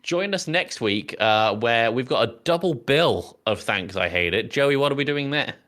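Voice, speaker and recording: A man's voice: medium pitch, lively intonation, normal speed, fairly bright tone. A man hosting a podcast, chatting casually and steering the conversation. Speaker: podcast host. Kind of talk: casual chat, moderating.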